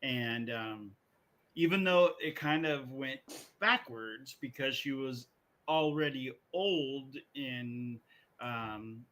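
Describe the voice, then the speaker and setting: Casual male podcaster, halting and rambling. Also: mid-range voice, a bit bright, slight background noise. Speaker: podcast co-host. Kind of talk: casual chat about video game movies.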